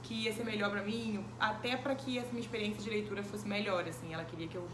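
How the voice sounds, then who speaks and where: A woman's voice: mid-range voice, steady tone, fast. A woman, answering interview questions thoughtfully, with many hesitant fillers. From Brazil. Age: 20s. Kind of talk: casual recorded interview. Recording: echoey room with background noise.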